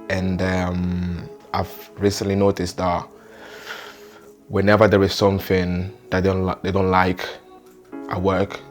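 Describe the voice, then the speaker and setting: Male interviewee, low and monotone, casual story told loosely, faint music under. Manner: conversational, meandering with fillers. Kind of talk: casual personal account.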